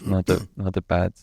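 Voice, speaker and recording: A man from Switzerland, answering interview questions in a relaxed, hesitant way. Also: sits low, gravelly, breathy edge, no echo, clean studio sound. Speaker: guest, venture partner.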